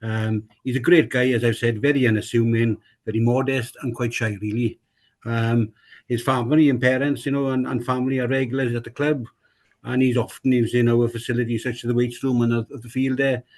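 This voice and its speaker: A man's voice: medium pitch, level tone, brisk, darkish tone. A man with a Welsh accent, a rugby club chairman, talking casually on a podcast, rambling and repeating himself with many fillers.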